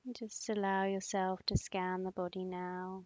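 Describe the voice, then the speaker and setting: A woman leading a guided meditation in a soothing, instructing manner, with long pauses and breathy phrase endings. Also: mid-range voice, flat delivery, darkish tone, no room sound. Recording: slightly muffled, dull close dry recording.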